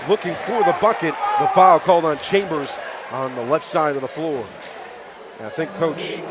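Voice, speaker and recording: A man doing live radio play-by-play of a basketball game, backing the home team and exclaiming on big plays. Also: high-pitched. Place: high school basketball gym. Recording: loud crowd and bouncing balls in gym. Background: loud crowd noise, basketball bounces.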